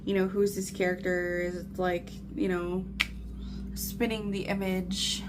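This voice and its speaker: A woman's voice: medium pitch, background noise. A woman in her thirties chatting casually and telling a rambling, meandering story with lots of filler phrases, like a vlogger catching up with viewers. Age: 30s. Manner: chatty, meandering, frequent "like" and "you know". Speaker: craft vlogger.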